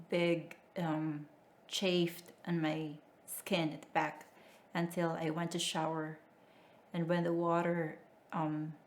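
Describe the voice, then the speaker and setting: A woman from the Philippines, recounting her races in a documentary interview, halting with frequent fillers and restarts. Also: deep voice. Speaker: amateur marathon runner. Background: music bed under the voice.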